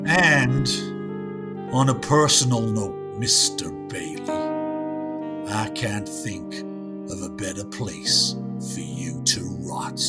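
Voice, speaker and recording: Man acting indignant judge, weighty, commanding, slow delivery, radio play, background music. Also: on the low side. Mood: grave condemnation. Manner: formal, weighty, scolding.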